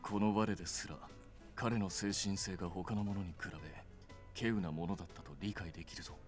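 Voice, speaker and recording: Man playing dignified lordly gentleman, deep, very quick delivery, pompous, visual novel game, faint music. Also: close to an everyday voice. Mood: composed, lofty. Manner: pompous, archaic, measured wording. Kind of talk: Japanese visual novel voice acting.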